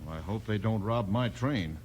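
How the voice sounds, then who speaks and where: A man playing a rough, plain-spoken Old West railroad man with folksy Western dialect in a 1950s radio western. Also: fairly low pitch, normal speed, a little mellow, natural voice. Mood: indignant, puzzled. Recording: slightly noisy old radio recording.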